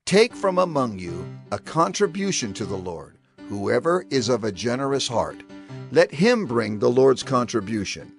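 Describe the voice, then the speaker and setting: An actor playing a male prophet who solemnly instructs his people with deliberate, declamatory phrasing in a dramatized scripture reading. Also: mid-range voice, big pitch swings, gravelly, soft background music. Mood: solemn instruction.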